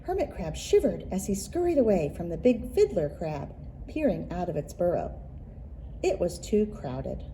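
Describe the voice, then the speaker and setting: Mellow woman reading a picture book aloud, expressively. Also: on the low side, a little hiss or hum, low-quality recording. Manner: expressive, deliberate storytelling with rhythmic repeated phrases. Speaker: children's librarian and story reader. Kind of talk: picture-book read-aloud for young children.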